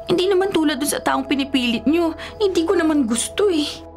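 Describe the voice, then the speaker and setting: Over background music, a rich young Filipina daughter in a radio drama. Her voice is high and coy. She is lovestruck and headstrong, slipping English words into her talk. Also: lively intonation, brisk, a little mellow. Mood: pleading, defiant. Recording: music bed behind the voice.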